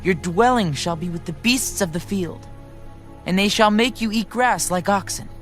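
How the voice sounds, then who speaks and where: Earnest, deferential male prophet for scripture drama; high-pitched, formal, grave, light music bed. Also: normal speed, fairly bright tone. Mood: grave, prophetic. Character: earnest, respectful male prophet addressing his king. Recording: faint music bed behind.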